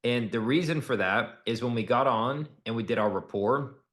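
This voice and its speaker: A man's voice: medium pitch, normal speed, fairly bright tone. A man giving a direct, casual coaching breakdown of a sales call, instructing and demonstrating as he goes. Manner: direct, instructive, casual, acts out example lines.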